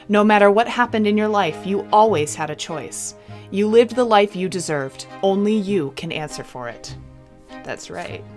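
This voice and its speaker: A woman doing a let's-play of a visual novel, reading the text aloud expressively and adding casual, chatty comments. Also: mid-range voice. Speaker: game streamer.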